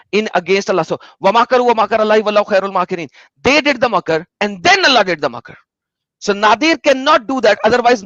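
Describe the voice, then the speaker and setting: A man's voice: high voice, fairly bright tone, no room sound, dull audio. A man debating in a live online Q&A, talking in a combative, indignant way.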